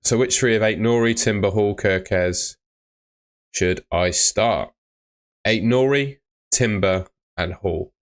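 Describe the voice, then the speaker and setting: Young male streamer, low voice, relaxed and chatty, reading viewer comments. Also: takes it slowly. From Bristol, England. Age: young adult. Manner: relaxed, chatty, answers chat questions. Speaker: fantasy football streamer. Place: small room indoors.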